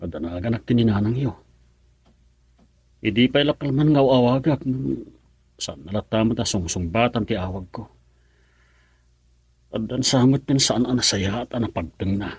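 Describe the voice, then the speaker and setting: A man's voice: mid-range voice, normal speed, pauses often, darkish tone, breathy edge, dry recording. A man acting a worried, brooding middle-aged father in an Ilocano radio drama. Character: worried middle-aged father drinking away his anxiety.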